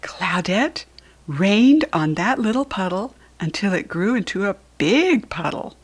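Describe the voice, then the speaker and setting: Slow, very lively American woman reading a storybook. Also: medium pitch. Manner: expressive, deliberate teaching delivery with asides. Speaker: storybook reader. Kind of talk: read-aloud children's picture book narration.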